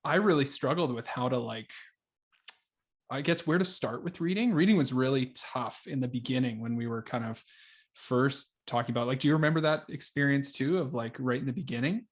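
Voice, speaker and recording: A man, hosting a casual podcast conversation in halting, searching phrases. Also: medium pitch. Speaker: podcast host.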